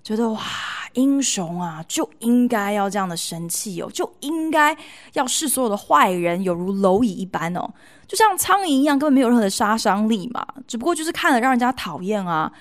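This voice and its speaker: A woman with a Taiwanese Mandarin accent hosting a podcast, telling stories chattily with playful, expressive emphasis. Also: fairly high pitch, a little fast. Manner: expressive, playful emphasis with colloquial sentence-ending particles. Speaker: podcast host. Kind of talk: chatty storytelling monologue to listeners.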